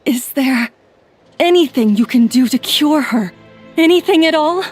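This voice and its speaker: A worried merchant pleading for help. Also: female voice, high-pitched, lively intonation, unhurried, soft background music.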